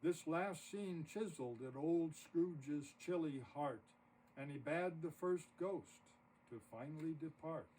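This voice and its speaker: A man narrating a rhymed story poem expressively, with dramatic, deliberate phrasing. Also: on the high side, darkish tone. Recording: distant mic with audible noise.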